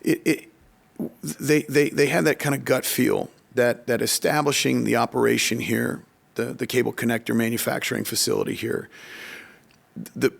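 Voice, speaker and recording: A man with a noticeably creaky, gravelly voice at an ordinary male pitch. He speaks in a conversational podcast style, circling back over words as he explains things through anecdotes. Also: steady tone. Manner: halting, repeats words while explaining. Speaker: economic development official, podcast speaker.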